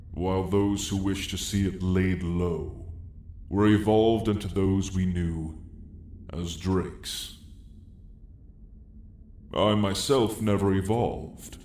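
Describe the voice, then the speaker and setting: A man's voice: deep voice, slow pace, long pauses, darkish tone. A man playing an ancient, weary dragon lord with dry menace, telling his tale in a grand, wry, old-fashioned way for an ASMR roleplay. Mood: musing, nostalgic. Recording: roomy echo, faint background noise.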